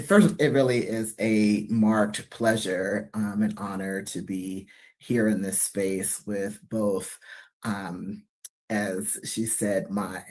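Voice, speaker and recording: Woman panel speaker, from new york city, halting, reflective, lots of pauses. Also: rumbling low, a little mellow. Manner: halting, many fillers, reflective. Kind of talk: panel talk at a university event.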